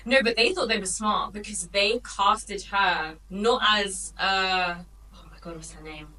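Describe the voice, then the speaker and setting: Bright-voiced chatty woman co-host. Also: mid-range voice, a little slow, recorded from a distance, low-quality recording. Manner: chatty, laughs easily, trails off. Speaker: podcast co-host. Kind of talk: casual chat.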